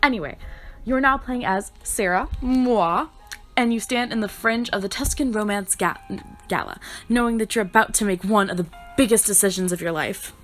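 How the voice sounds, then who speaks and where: Excitable girl gamer reading a story game, kinda hoarse from being sick, playful, soft music under. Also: mid-range voice, moderate pace. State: hoarse from a sore throat. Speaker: gaming YouTuber.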